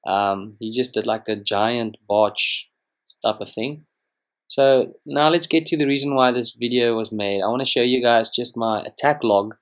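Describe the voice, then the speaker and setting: A young man whose first language is Afrikaans gives relaxed, rambling commentary over strategy game footage, with frequent ums and restarts. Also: mid-range voice, unhurried. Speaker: gaming channel host. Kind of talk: casual gameplay commentary.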